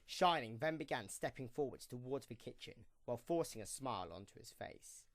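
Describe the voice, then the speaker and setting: A man's voice: mid-range voice, lively intonation, a little fast, dry recording. A man narrating a scripted story for an audio drama.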